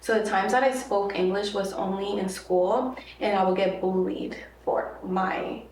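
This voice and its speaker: A woman's voice: medium pitch, steady tone, unhurried, darkish tone. A young woman born in Mexico, a native Spanish speaker, chatting as a podcast guest, rambling with many fillers and restarts. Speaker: podcast guest, content creator. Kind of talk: casual interview conversation. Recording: echoey room, mic at a distance, faint noise.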